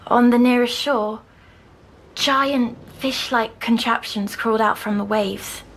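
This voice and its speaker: A woman playing a timid, dreamy young girl patient who recounts her nightmares in a hushed audio drama. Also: on the high side, fairly even intonation, slow pace, a little hiss or hum. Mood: hushed wonder.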